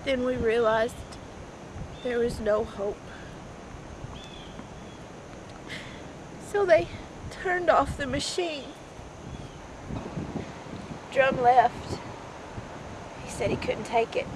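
A woman performing an audition monologue as a grieving mother, with halting, emotional, dramatic delivery. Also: a little high. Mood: quiet numb grief. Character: grieving Southern mother, bitter then raging over daughter's death. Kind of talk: amateur audition monologue, stage drama acting. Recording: noisy amateur recording, wind-like microphone noise.

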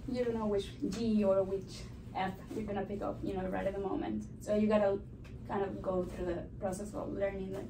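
A woman's voice: mid-range voice, little pitch movement, a little fast. A young woman from Cuba, a classical guitarist, answering interview questions eagerly with many fillers. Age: young adult. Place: small room with a framed map on the wall. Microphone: stand mic, filmed by a far camera. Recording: roomy, distant, noisy.

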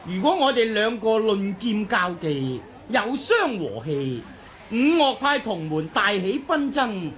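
An actor playing a courteous, composed male sword master in a 1980 Cantonese radio drama, speaking in a measured, formal way. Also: high-pitched, very animated, a bit bright, full character voice. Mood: solemn.

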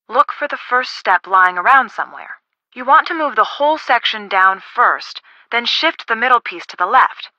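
A woman voicing a friendly young woman in a video game, casual, expressive and helpful. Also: medium pitch, no room sound, dull audio.

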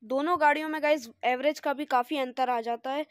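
A young boy with a high, bright voice chatting through a road-trip vlog. He films on a phone held at arm's length while riding in a car, with the vehicle audible behind him. He keeps saying "okay" and "guys" as he goes. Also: fairly even intonation, fairly quick, no echo, clean studio sound. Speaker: travel vlogger. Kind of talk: casual travel vlog. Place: outdoors and in a moving car.

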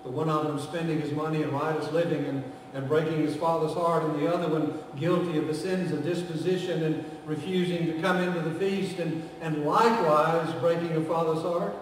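An older man with a Southern American accent preaching a storytelling sermon from a church pulpit, moving between loud passages and hushed ones. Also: fairly high pitch, fairly even intonation, brisk, hardly any pauses, slight background noise. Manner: dramatic, voices quoted characters, swings from loud to hushed. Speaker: guest preacher. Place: church sanctuary, medium-sized, indoors. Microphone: far camera, pulpit mic. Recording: echoey church from far off.

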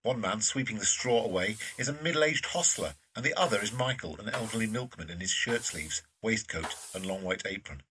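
A man's voice: lowish voice, animated, a little fast, few pauses, distant mic, noisy background. A man narrating an audio drama from a script.

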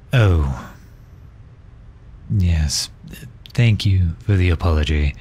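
Man acting as a stern villain, very low voice, formal, protective, commanding, unhurried delivery, roleplay audio drama. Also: darkish tone, slight background noise. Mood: calming, apologetic. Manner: formal, stern, halting when flustered. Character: protective, commanding male villain fretting over injured hero. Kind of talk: scripted roleplay audio drama in ASMR style.

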